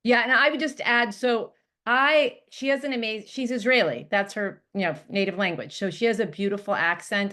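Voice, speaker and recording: A woman's voice: medium pitch, pushed and bright. A woman presenting a school update on a video call, chatty and upbeat, with many fillers and restarts. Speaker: religious school director.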